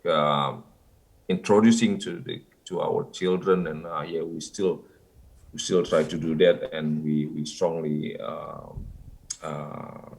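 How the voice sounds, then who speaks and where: Slow, deep-voiced man with hesitant speech. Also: fairly even intonation, gravelly. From North Sumatra, Indonesia. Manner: hesitant, many pauses and fillers. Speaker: podcast guest. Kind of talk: casual interview answers.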